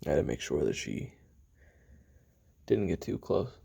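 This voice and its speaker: A man playing a weary, bitter, regretful assassin in an audio drama, confiding a halting memoir in a gravelly, husky voice that drops toward whispers. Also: big pitch swings, warm tone, exaggerated voice. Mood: rueful, confiding. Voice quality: very deep, gravelly, husky, often breathy. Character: weary, bitter, regretful male assassin recounting his youth. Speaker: voice actor in an audio roleplay. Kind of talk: confessional taped memoir monologue, audio drama.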